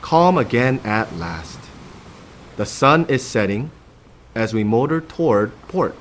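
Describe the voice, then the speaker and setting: A man's voice: medium pitch, expressive, slow, a little hiss or hum. A man in his thirties hosting a children's storytime, reading aloud expressively and speaking directly to young listeners.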